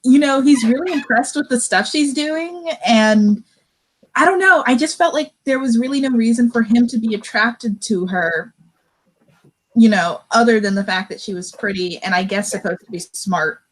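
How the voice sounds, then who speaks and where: Woman with highish voice, casual chat. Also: moderate pace, low-quality recording. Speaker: book discussion co-host. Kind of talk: casual book discussion chat.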